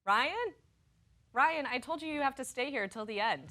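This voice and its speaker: A woman's voice: high voice, expressive, normal speed, over a noisy crowd. A woman playing a flustered, scolding woman in a comedy sketch. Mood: flustered, annoyed. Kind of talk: acted comedy sketch dialogue.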